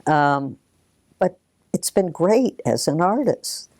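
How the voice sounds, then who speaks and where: A woman artist reflecting in an interview, pensive and halting as she gathers her thoughts. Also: low voice, very animated, a little mellow, no room sound, studio quality.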